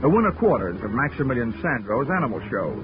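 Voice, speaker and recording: A man's voice: medium pitch, very animated, fast, close to an everyday voice. Wry man playing a radio investigator. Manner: dry, sardonic, wisecracking. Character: wisecracking insurance investigator, adult man. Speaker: narrator and lead. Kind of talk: 1940s radio acting. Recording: lo-fi vintage radio recording with music.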